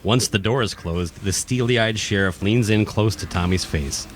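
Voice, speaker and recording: A man's voice: fairly low pitch, normal speed, a little hiss or hum. A man reading scripted narration for a radio play. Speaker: narrator, podcast host.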